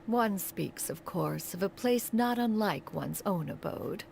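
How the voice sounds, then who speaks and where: A woman's voice: medium pitch, animated, a little slow, vocal fry, a little mellow, a little hiss or hum. A woman voicing an ancient, aloof sage in a video game, speaking formally and with dignity. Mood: stately, gentle. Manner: formal, stately, speaks of self as "one". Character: ancient aloof adeptus crane woman, dignified, sagely master. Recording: music behind the voice. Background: music.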